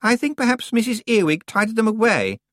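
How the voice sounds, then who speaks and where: A reader voicing a frantic, bossy teenage girl witch in an audiobook, shrill and whiny, stammering when upset. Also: big pitch swings, brisk, dry recording, clean studio sound, close to an everyday voice. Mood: fretful.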